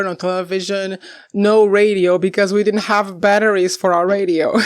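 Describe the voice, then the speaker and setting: Chatty young woman with a dry close sound. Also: no room sound. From Portugal. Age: young adult. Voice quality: deep for a woman. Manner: animated, rambling, laughs often, swears casually. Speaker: book vlogger. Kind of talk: casual chat to camera.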